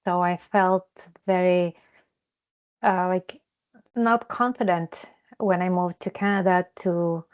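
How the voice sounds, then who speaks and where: A woman from Ukraine introducing herself to a group over a video call, halting and searching for words. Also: on the low side, fairly even intonation, clear, resonant tone. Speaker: counseling student. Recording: dull lo-fi video call audio.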